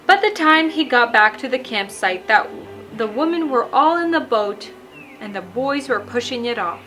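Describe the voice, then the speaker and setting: A woman reading a children's bedtime story aloud in a deliberate, expressive storybook delivery. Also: a little high, faint music behind. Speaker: storyteller and show host.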